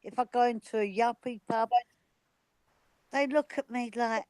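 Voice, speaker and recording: A woman's voice: a little high, steady tone, measured pace, a little mellow, smooth, no echo, rough recording. A Cockney woman from England chatting casually and bluntly on an online panel, with a complaining way of talking.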